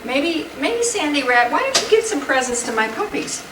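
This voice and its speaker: A woman's voice: high voice, very animated, moderate pace. A woman voicing a perky, chatty animal puppet in a live children's show, speaking in a sing-song way. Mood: affectionate. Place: indoor room before an audience of children. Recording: echoey, distant, very noisy room.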